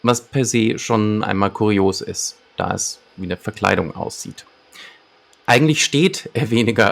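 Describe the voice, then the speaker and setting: Male co-host presenting episode summaries. Lowish register with plenty of vocal fry. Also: a bit bright. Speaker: podcast co-host. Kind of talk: scripted episode summary and discussion.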